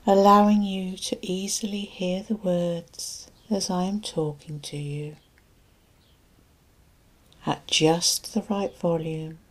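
A woman with a fairly low, soft voice leads a sleep hypnosis session. Her delivery is very slow and soothing, with long gaps between short phrases. The tone is breathy and husky, like a therapist easing a listener toward rest. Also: fairly even intonation. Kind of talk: guided relaxation and sleep hypnosis narration.

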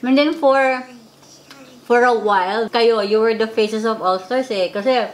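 A woman's voice: fairly high pitch, a little slow. A woman in her thirties with a Filipino accent, leading a casual chat with friends over a meal at home, teasing and switching between English and Tagalog. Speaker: vlog co-host. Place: home dining table, indoors.

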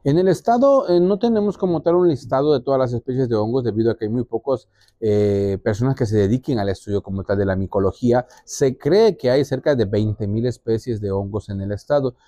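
A man's voice: mid-range voice, brisk, warm tone, no echo. A man, explaining as an expert in an interview, with hesitant restarts. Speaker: biologist, interviewee. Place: small indoor exhibition room. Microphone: clip-on lapel mic.

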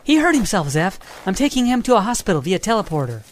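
A woman's voice: medium pitch, very animated, fast, close to an everyday voice. A woman voicing a cocky, carefree young male hedgehog hero with breezy, slangy delivery in an amateur radio drama. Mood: brisk, flippant.

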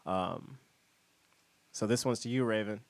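A man's voice: fairly low pitch, a little slow, creaky, dry recording. A young man in his twenties rapping a song live into a handheld mic in a small indoor studio room. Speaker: rapper. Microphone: handheld mic close to mouth. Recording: loud live music behind. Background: band music.

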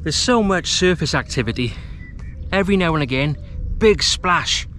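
A relaxed man in his thirties talks to a camera on a tripod while fishing from a rocky seawall, his voice a touch higher than most men's. He chats easily about what he sees on the water, recorded outdoors with a faint outdoor hum behind him. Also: normal speed. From Wales. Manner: relaxed, chatty, commentating on his fishing as it happens. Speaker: fishing vlogger. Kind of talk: casual outdoor vlog talk to camera. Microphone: camera on a tripod at a short distance.

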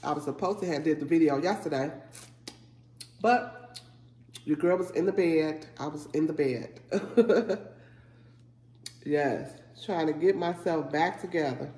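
A congested woman in her forties talks to her viewers between bites, relaxed and chatty, humming over her food. Her voice rings a little in a roomy space, with a faint hiss underneath. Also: sits low, a little slow, pauses often. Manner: relaxed, chatty, savoring food with hums while eating. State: congested from a head cold. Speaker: mukbang vlogger. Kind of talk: casual eating video, talking to viewers. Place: living room, indoors. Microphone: fixed camera at table. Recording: echoey room with faint noise.

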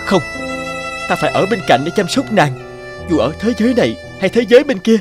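A man's voice: sits high, sing-song, a little fast, over loud music. A man playing a devoted, sorrowful husband in a folk-tale cartoon, earnest and pleading. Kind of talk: cartoon voice acting.

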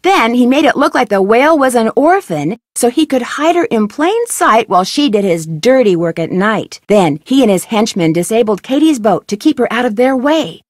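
Plucky young girl detective, inquisitive and polite, high lively voice, dry studio sound. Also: normal speed, hardly any pauses, no echo. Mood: narrating. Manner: inquisitive, bright and earnest. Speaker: voice actor for a game heroine. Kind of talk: video game voice lines.

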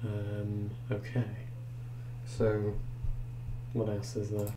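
A young man with a deep, low voice talks through a screen recording, thinking aloud with ums. His delivery is flat and unhurried. A steady hum and noise sit under the recording. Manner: hesitant, thinking aloud with fillers. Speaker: tech reviewer. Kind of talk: casual screen-recorded software review. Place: indoors at a computer. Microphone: desktop microphone.

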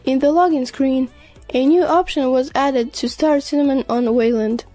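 A woman reading scripted narration for a tech news video in a measured, explanatory way. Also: high-pitched, fairly even intonation, dark tone, soft background music.

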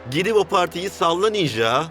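An actor playing a hyped-up cartoon reality-show announcer with a showy delivery. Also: male voice, high voice, big pitch swings, normal speed, bright, forceful tone, slight background noise, heavily acted. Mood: egging on.

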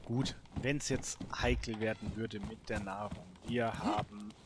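A man's voice: lowish voice, steady tone, measured pace, noisy background. A man giving casual, improvised gameplay commentary, thinking aloud in short muttered remarks. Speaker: gaming let's player.

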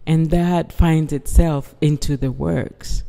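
A soft-spoken woman from East Africa, a guest artist talking about her work in an interview and answering with frequent yeses. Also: sits low, steady tone, slow, gravelly. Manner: soft-spoken, repeats yes often. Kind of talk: interview conversation. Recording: long reverb.

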